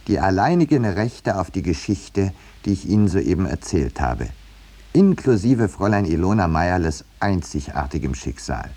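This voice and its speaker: An actor playing an urbane, dryly ironic painter in a radio play, polite, hesitant and deadpan. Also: male voice, deep voice, husky. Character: urbane, dryly ironic male painter telling his tale. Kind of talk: 1950s German radio play acting and narration.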